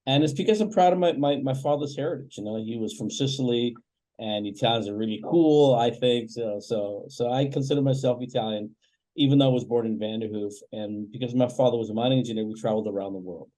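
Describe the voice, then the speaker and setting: Reflective Canadian man, mellow voice. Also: medium pitch, rough recording. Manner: reflective, measured, with many fillers. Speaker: family wealth coach. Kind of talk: podcast interview answers.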